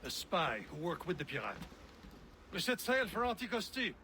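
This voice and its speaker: A stern, scornful mentor, talking down to his pupil in a game cutscene. His voice sits high and swings widely as he lectures. Loud game ambience hums behind him. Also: sing-song, normal speed, slight background noise, exaggerated voice. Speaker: mentor of the brotherhood. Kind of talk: video game cutscene acting.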